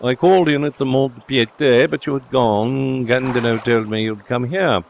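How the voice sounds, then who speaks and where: A man voicing a jaunty, effusive old gentleman in an audiobook story. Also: medium pitch, fairly even intonation, moderate pace, gravelly, a little hiss or hum, pitched above the performer's own voice, lightly acted. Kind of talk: dramatised audiobook dialogue.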